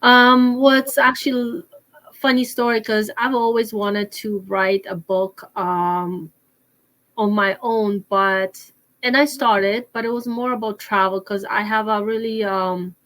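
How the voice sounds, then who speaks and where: A woman's voice: medium pitch, steady tone, measured pace, a bit bright, low-quality recording. A middle-aged woman from Poland, an author answering interview questions with many fillers and restarts. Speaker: author and guest.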